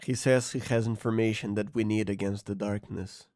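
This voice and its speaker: An actor in an audio drama playing a dutiful, insistent subordinate with a respectful, flat manner. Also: male voice, fairly low pitch, normal speed, dry recording, clean studio sound, close to an everyday voice. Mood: earnest, deferential.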